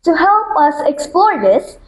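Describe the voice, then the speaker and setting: A woman's voice: extremely high pitch, sing-song, slow pace. A woman co-hosting an education podcast, earnest and inquisitive in her questions. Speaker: podcast co-host. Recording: echoey room.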